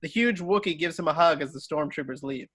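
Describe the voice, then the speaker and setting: A man's voice: on the high side, a little fast, fairly bright tone, slightly rough. A man narrating screenplay stage directions in an amateur table read, sometimes stumbling and rereading. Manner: stumbles over words and rereads.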